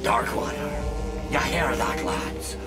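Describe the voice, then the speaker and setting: A man's voice: mid-range voice, sing-song, slow. A man voicing a menacing, sneering gang leader with an in a video game. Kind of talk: video game villain acting. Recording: very loud music underneath.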